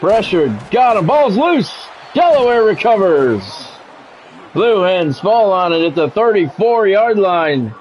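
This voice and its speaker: Male sports commentator, voice a touch high, radio-style calls with offhand asides, lo-fi sound. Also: sing-song, slow pace, a little mellow, slight background noise. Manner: radio-announcer phrasing with casual asides. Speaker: streamer doing play-by-play. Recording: dull, lo-fi audio with music behind.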